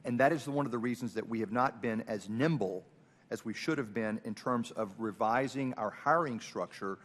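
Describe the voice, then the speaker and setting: A man's voice: medium pitch, moderate pace, fairly bright tone. A man giving formal testimony as a witness at a hearing. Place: large indoor hearing room. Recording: echoey hearing room.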